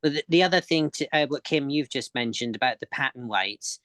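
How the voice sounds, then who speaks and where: A man's voice: mid-range voice, brisk, a bit bright, dry recording. A man, a sewing expert guest, explaining techniques in casual chat, often repeating words as he goes.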